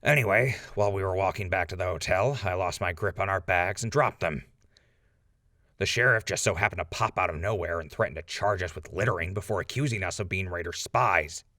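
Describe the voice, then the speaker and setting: A man's voice: deep voice, fairly quick, bright, forceful tone, no room sound, clean studio sound. A man playing a defiant, outspoken ghoul defendant in a post-apocalyptic audio drama, arguing his case in an impassioned, rambling way. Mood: insistent.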